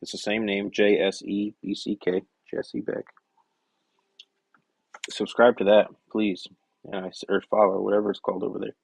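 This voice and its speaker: A young man chatting casually on a work-shop live stream, rambling and correcting himself, his voice hoarse and scratchy from a cough. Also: lowish voice, flat delivery, normal speed, pauses often, no echo. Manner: rambling, self-correcting, soft-spoken asides. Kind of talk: casual live-stream chat while working.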